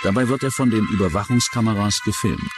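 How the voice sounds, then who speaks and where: A man's voice: lowish voice, quick pace. A man reading scripted true-crime documentary narration. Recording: music bed under the narration. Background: background music.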